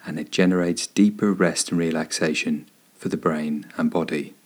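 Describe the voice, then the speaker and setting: A man with a low, husky voice leads a guided meditation. He gives gentle, soothing instructions and leaves unhurried pauses between phrases. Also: fairly even intonation. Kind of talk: guided body scan meditation narration.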